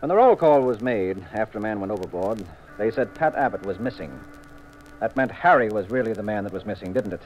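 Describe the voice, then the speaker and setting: An actor playing a cool, wry detective with a dry, commanding manner in a 1950s radio mystery. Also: male voice, fairly low pitch, lively intonation, a little fast, darkish tone, dull audio, close to an everyday voice. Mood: accusing, triumphant. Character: cool, wry, assured male detective, married sleuth. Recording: noisy 1950s radio recording.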